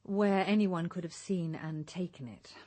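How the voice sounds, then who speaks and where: A woman's voice: fairly low pitch, a little slow, warm tone. An English actress in a radio drama playing an earnest, watchful female investigator, polite and probing. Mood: earnest, concerned. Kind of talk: British radio drama acting.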